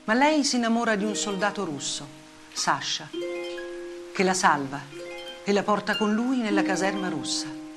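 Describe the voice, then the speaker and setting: A woman's voice: mid-range voice, animated, close to an everyday voice. Grave woman acting a journalist, music behind. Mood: bitterly ironic. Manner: deliberate, short phrases with weighted pauses. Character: Russian woman journalist, grave, bitterly ironic witness. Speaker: actress in a theatrical monologue. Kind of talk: dramatic theatrical monologue, radio theatre.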